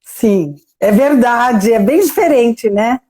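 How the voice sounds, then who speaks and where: A woman's voice: a little high, lively intonation, slow pace, dark tone, breathy edge. A woman with a Brazilian Portuguese accent hosting a live interview over a video call, effusive and chatty, tagging sentences with questions. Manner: effusive, chatty, many "né?" tags. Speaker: live-stream host and interviewer. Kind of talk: warm conversational interview.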